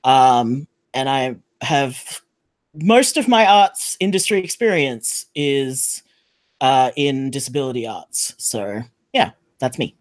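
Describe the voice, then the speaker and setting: A man's voice: medium pitch, lively intonation, takes it slowly, no echo. A man talking casually and explaining things as a panelist on a remote video call. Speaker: podcast panelist.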